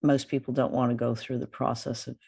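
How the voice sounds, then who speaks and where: A woman's voice: very deep voice, flat delivery, brisk, creaky, warm tone, slightly rough, no echo, clean studio sound. A woman hosting a podcast in a conversational interviewing style. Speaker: podcast host.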